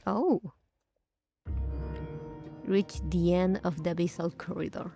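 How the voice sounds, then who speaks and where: A woman's voice: on the low side, fairly even intonation, slow pace, gravelly, warm tone, soft background music. A woman game streamer giving casual, reactive gameplay commentary in muttered asides.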